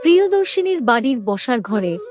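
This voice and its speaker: High-voiced woman narrating a story. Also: sing-song, normal speed. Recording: music under the voice.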